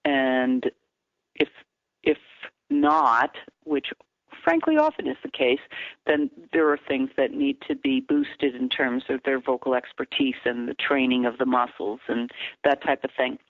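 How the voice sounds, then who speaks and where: Female vocal coach calling in, steady explanatory delivery, thin telephone sound. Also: very deep voice, no echo. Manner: measured, explanatory, teacherly. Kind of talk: phone-in radio interview. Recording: tinny phone line, dry.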